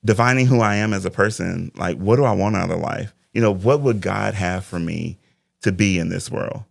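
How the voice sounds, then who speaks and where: Deep gravelly man in his forties. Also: moderate pace, no room sound, studio quality. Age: 40s. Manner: reflective, faith-driven talk. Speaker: interview guest, life coach. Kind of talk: casual interview conversation.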